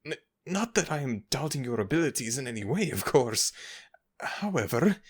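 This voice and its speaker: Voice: mid-range voice, a bit bright, slightly rough, exaggerated voice. Man doing a nervous, deferential young servant. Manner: deferential, hesitant, stammering. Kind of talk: ASMR audio roleplay.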